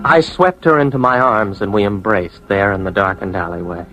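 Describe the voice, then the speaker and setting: A man's voice: fairly low pitch, normal speed, a bit bright, soft background music, close to an everyday voice, poor audio. An actor playing an earnest young advertising man in a radio drama, with an American accent, sometimes narrating his own story. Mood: brooding, dramatic. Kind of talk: old-time radio drama acting, first-person narration.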